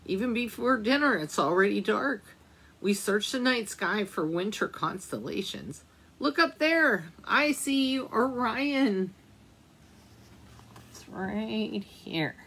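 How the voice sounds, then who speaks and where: Slow, gravelly woman reading to children. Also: medium pitch, expressive. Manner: slow, gentle read-aloud with questions to children. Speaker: children's librarian. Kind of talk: reading a picture book aloud for storytime.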